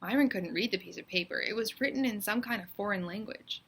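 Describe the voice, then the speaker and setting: A woman reading aloud as a teacher, in expressive, deliberate and suspenseful storybook narration. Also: mid-range voice, creaky, breathy edge.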